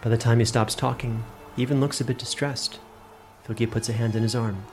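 A man's voice: medium pitch, fairly even intonation, a little fast, a little mellow, soft background music. A man giving scripted narration for a fantasy story.

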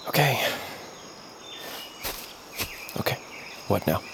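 An actor playing a troubled, grieving grandson in a fantasy audio drama, speaking hesitantly and earnestly. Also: male voice, fairly quick, noisy background, natural voice. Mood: uneasy. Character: troubled, grieving grandson, former musician, haunted by voices.

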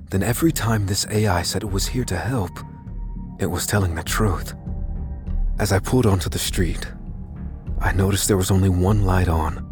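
A man playing a tense but steady lead character who narrates an audio drama in a measured, dramatic way. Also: sits low, lightly acted. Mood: uneasy, resolute. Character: unassuming armed man hunting a killer, tense but steady.

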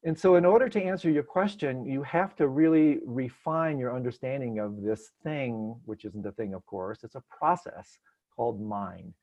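A man's voice: mid-range voice, normal speed. Man teaching over a muffled online call. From Detroit. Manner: gentle, searching, many restarts. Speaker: meditation teacher answering questions. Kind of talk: relaxed spoken teaching, unscripted. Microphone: video call.

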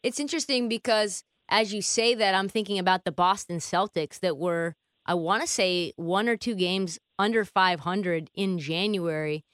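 A woman's voice: medium pitch, normal speed, hardly any pauses, fairly bright tone, dry recording, clean studio sound. A woman hosting a sports talk show in a conversational style.

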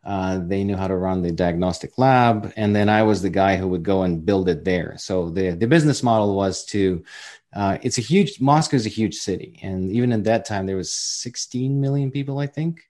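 A man's voice: deep voice, normal speed, darkish tone. A man in his forties from Ukraine with a Ukrainian accent, telling his career story as a guest in a casual interview, chatty and self-deprecating. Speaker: guest entrepreneur.

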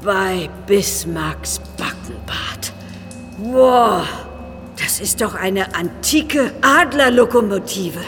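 A woman's voice: mid-range voice, lively intonation, slow, a bit bright. A woman playing a curious, knowledgeable boss of mine workers in a children's audio drama, given to exclamations. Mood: amazed, curious. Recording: long cavernous reverb, music behind.

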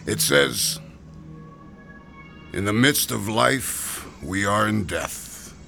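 An actor playing a chatty, folksy gravestone carver who sighs about the heat, in classic radio drama style. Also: male voice, on the low side, animated, extremely slow, creaky, pushed and bright, soft background music, exaggerated voice. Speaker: stonecutter.

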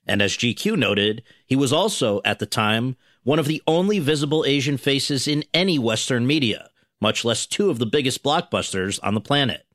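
A man hosting a podcast interview in front of a student audience, reading a formal introduction in a measured way. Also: mid-range voice, lively intonation, fairly bright tone, dry recording, studio quality. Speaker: podcast host. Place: university venue with audience.

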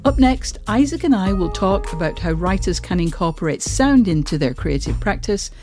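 A woman's voice: fairly low pitch, expressive, moderate pace, warm tone. A woman co-hosting a podcast, reading a scripted introduction to a segment. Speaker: podcast co-host. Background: faint music.

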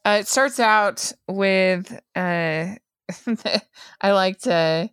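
A woman's voice: mid-range voice, extremely slow, a bit bright, clear, resonant tone, dry recording, studio quality. A woman co-hosting a casual TV recap chat, with a mixed American accent and a chatty manner, laughing often and trailing off mid-thought. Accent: mixed American, hints of East Coast and Utah. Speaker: podcast co-host.

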